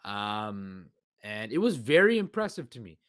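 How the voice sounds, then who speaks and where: Male podcast host, hoarse, low-pitched, dry close recording, loose rambling delivery. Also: sing-song, measured pace, fairly bright tone, no room sound. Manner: rambling, many fillers and restarts. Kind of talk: solo sports podcast commentary.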